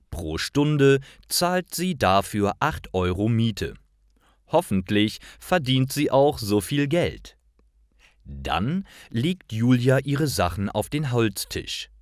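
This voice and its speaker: A man reading simple stories for language learners as a scripted narration, in careful, clearly separated short phrases. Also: fairly low pitch, dry recording, clean studio sound.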